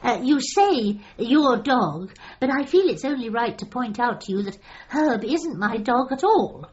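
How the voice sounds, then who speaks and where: An actress playing a meek, literal-minded widow in a gentle comic radio drama, speaking in a hesitant, polite, understated and slightly doleful way. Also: female voice, a little high, very animated, unhurried, muffled recording. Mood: doleful, apologetic.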